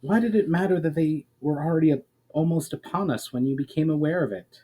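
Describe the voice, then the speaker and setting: A man's voice: a little high, fairly quick, dark tone, slightly rough, voice pushed up. A man voicing a curious, questioning woman warrior with formal speech for a fantasy story. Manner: formal, inquisitive. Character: female warrior, curious, questioning, sometimes sarcastic.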